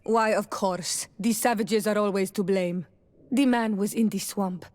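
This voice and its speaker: An actress voices a proud clan woman in a fantasy game. She speaks with sardonic bite and a knowing air, in a medium-pitched voice. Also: fairly even intonation, unhurried, darkish tone, close to an everyday voice. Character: proud native woman companion, sardonic and knowledgeable. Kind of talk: video game dialogue acting.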